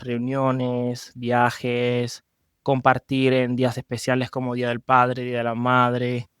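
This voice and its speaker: A man with a Venezuelan accent and a smooth, mid-pitched voice. He speaks as an interview guest in a personal, conversational storytelling style, recorded dry. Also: dry recording. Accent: Venezuelan Spanish. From Maracaibo, Venezuela. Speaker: podcast guest.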